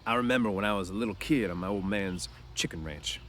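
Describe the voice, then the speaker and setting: Storybook stable hand, played by a male reader: bitter, lonely loner, folksy rough grammar, low-pitched, noisy recording. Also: fast talker, fairly bright tone, natural voice. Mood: dreamy. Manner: folksy, ungrammatical ranch speech.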